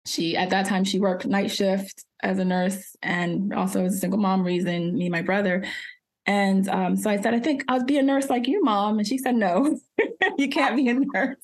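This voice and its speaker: A woman's voice: medium pitch, moderate pace. Chatty woman pharmacist telling her story. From Jamaica, raised in New Jersey. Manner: chatty, laughs often, many fillers. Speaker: guest, pharmacist. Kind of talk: casual interview storytelling.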